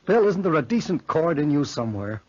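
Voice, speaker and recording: Husky, earnest, anxious young man. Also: fairly high pitch, very animated, fairly quick, darkish tone, no echo. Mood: nervous, troubled. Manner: earnest, strained, melodramatic. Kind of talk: 1940s radio horror acting. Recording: muffled 1940s radio broadcast recording.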